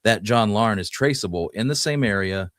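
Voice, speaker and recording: A man's voice: lowish voice, expressive, moderate pace, fairly bright tone, no room sound, clean studio sound. A man co-hosting a history podcast, talking casually and musing his way through the details. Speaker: podcast co-host.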